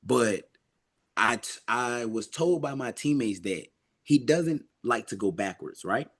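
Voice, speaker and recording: A man speaks as an amateur athlete in a casual, reflective interview. His voice sits at a medium pitch, and he is earnest and emphatic, often repeating a phrase to stress it. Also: lively intonation, unhurried, fairly bright tone. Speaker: amateur boxer being interviewed.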